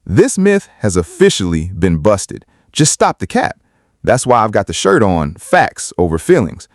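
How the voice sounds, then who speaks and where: A man's voice: lowish voice, darkish tone, no echo, studio quality. A man giving opinionated video commentary in an emphatic, argumentative way. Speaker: video commentator on hip-hop.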